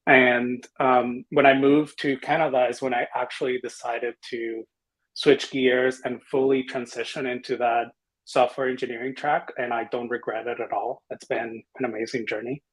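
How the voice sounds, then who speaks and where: A man's voice: medium pitch, level tone, moderate pace, husky, rough recording. A man from the Dominican Republic speaking as a panelist, casually and enthusiastically introducing himself. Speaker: panelist, software engineering manager.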